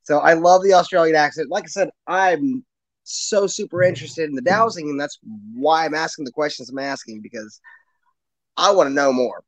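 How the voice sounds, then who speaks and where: A man's voice: fairly high pitch, normal speed, no echo, rough recording. A man hosting a podcast, asking questions in a curious, hesitant way with lots of fillers. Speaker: podcast host. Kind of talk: casual interview chat.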